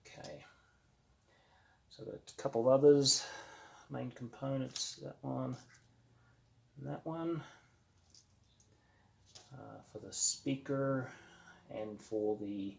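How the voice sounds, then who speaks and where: A man giving casual, unscripted how-to commentary as a hobbyist at work on a build, halting and thinking aloud as he goes. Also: medium pitch, gravelly. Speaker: hobbyist narrating an electronics kit build.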